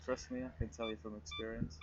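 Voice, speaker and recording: A man's voice: on the low side, little pitch movement, fast. Young man, laughing co-host, muffled outdoor audio under heavy wind noise. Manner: joking, laughs often. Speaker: plane spotting livestream co-host. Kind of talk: casual banter. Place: outdoors at an airfield. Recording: dull lo-fi sound, loud wind and background noise.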